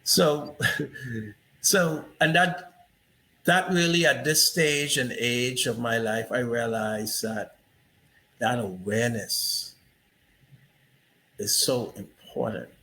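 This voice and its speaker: Bright-voiced man, slow reflective host. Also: mid-range voice, poor audio. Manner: reflective, storytelling with asides like "you know". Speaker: interview host. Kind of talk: casual interview conversation.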